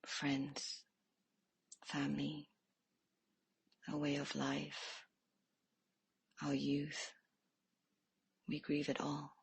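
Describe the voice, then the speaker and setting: Woman, meditation guide, soft hushed delivery, unhurried pace, close dry sound. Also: low voice, completely flat delivery, creaky, breathy edge, dry recording.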